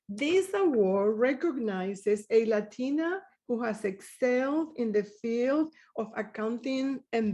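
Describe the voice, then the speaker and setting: Female awards host: unhurried, soft and mellow, measured reading of winner tributes. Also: medium pitch. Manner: measured, reads prepared tributes. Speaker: awards ceremony presenter. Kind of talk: presenting awards at a live event.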